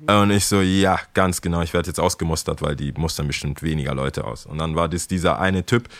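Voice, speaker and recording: A young man with a very low, deep voice tells stories in a loose, chatty way. The sound is close and dry, with no room around it. He laughs now and then while he talks. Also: a little fast, fairly bright tone, no echo. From Ghana. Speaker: guest, skate shop worker. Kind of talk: casual interview storytelling.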